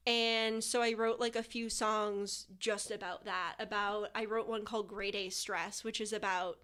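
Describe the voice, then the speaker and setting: A woman's voice: medium pitch, little pitch movement, a little slow, pushed and bright. A woman in her twenties, a guest on a podcast, talking in a chatty, filler-heavy way and retelling stories with animation. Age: 20s. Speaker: guest musician. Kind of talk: casual interview chat.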